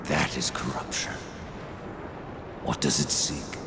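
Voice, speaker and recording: An actor playing a grim, brooding horseman of the apocalypse in a video game, terse and menacing, with a deep gravelly voice. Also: fairly even intonation, slow. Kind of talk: video game cutscene acting. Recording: long hall reverb, loud noise behind.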